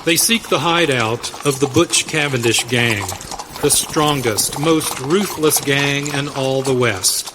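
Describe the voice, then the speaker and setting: A man narrating a scripted western radio drama in a dramatic, deliberate way. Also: mid-range voice. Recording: very noisy, effects behind voice.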